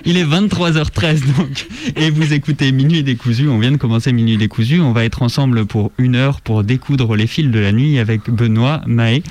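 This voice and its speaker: A man's voice: mid-range voice, normal speed, a little mellow, a little hiss or hum. A man hosting radio, delivering colloquial, sardonic commentary and casual presentation. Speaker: radio show host. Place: dry radio studio.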